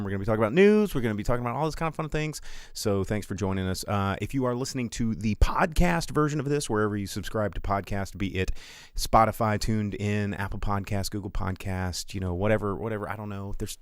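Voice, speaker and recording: An American man with a deep voice hosts a casual live stream. He rambles informally and cuts into his own sentences, in a close, dry recording. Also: fast talker, clean tone, no echo. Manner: rambling, informal, self-interrupting. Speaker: podcast host.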